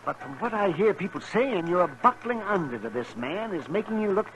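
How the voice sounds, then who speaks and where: A man's voice: high-pitched, sing-song, moderate pace, background noise. A man acting an older, folksy frontier town doctor in a 1950s radio western. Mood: candid, concerned. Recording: old radio drama with music.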